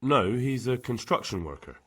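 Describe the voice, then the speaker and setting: Earnest young medieval villager in a game, plain talker, bit husky, melody moves around a lot. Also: male voice, mid-range voice, lively intonation, moderate pace, a bit bright. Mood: earnest, concerned. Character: earnest young man, helpful medieval villager tending the wounded. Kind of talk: video game cutscene dialogue.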